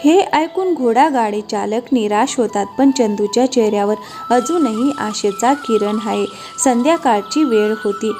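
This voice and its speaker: Higher-pitched woman narrating a cartoon story. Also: measured pace. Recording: music behind the voice.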